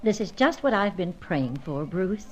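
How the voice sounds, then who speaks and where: Sassy, animated American adventuress. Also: female voice, medium pitch, measured pace. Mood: flirty, teasing. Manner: sassy, folksy slang, teasing. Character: flirtatious, brassy, feisty American woman adventurer. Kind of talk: old-time radio serial acting. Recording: dull, lo-fi radio serial recording.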